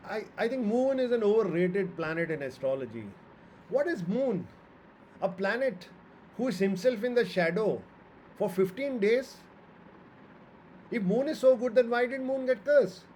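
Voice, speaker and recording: A man with an Indian accent speaks in a slightly high voice, lecturing informally like an astrologer. He is emphatic and opinionated, often asking questions and answering them himself. Faint noise sits behind him. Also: darkish tone, low-quality recording. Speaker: astrologer and author.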